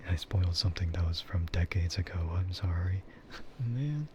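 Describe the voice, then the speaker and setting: A man doing casual ASMR stream chat, soft, breathy and mostly whispered, in a hesitant, rambling way. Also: low voice, lively intonation, moderate pace. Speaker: streamer, VTuber. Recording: dull, muffled audio with loud ambient noise.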